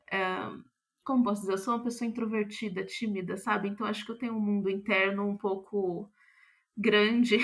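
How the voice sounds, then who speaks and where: Thoughtful Brazilian woman, mid-pitched. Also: a bit bright. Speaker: playwright, interview guest. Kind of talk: reflective interview talk.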